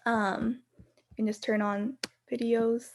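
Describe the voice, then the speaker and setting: A woman's voice: on the high side, flat delivery, takes it slowly, slight background noise. Female moderator, friendly and casual, introducing panelists on a video call. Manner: friendly, filler-heavy moderating. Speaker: webinar moderator. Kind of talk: hosting a webinar panel.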